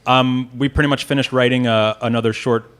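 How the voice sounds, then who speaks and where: A man's voice: mid-range voice, steady tone, brisk, fairly bright tone, clean tone. A man speaking casually as an independent filmmaker being interviewed at a festival.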